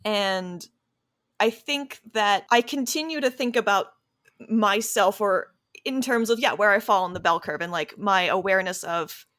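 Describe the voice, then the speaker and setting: A woman's voice: mid-range voice, measured pace, a bit bright, no room sound, clean studio sound. An American woman chatting casually in an interview, thinking aloud in a hesitant, self-reflective way. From Delaware County, United States. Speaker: interviewee and interviewer, podcast cast member.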